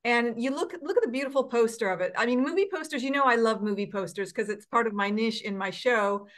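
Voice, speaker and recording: Effusive, higher-voiced woman host. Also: quick pace, a bit bright. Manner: effusive, complimentary. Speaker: talk show host. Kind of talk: casual interviewing. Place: indoors on a video call. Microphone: computer video call.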